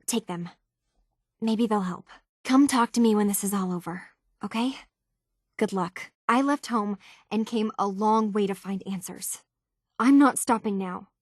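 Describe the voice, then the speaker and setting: An actress playing an earnest, troubled young woman searching for answers in a video game, speaking in a halting, hushed way. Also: medium pitch, no echo, studio quality, close to an everyday voice. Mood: determined, earnest.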